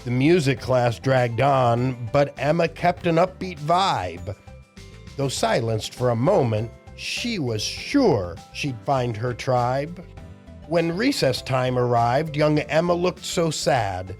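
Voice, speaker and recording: A man's voice: mid-range voice, big pitch swings, slow, faint music behind. An older man hosting a children's storytime, reading rhymes and chatting directly to young listeners in a theatrical, cheery way. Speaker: children's storytime host and entertainer. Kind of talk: rhyming read-aloud narration and cheery chat to children.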